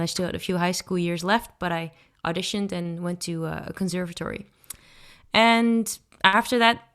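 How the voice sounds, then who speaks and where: Dutch woman in her thirties, a podcast guest, clear voice, easygoing and reflective. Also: on the low side. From Netherlands. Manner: relaxed, thoughtful, frequent ums and restarts. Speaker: guest singer-songwriter. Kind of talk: casual interview answers.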